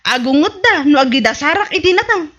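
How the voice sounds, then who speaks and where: Woman acting a shrill, whiny village mother. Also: animated, brisk, a bit bright, highs cut off. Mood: whiny, doubtful. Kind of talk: comedy radio drama acting. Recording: music under the voice.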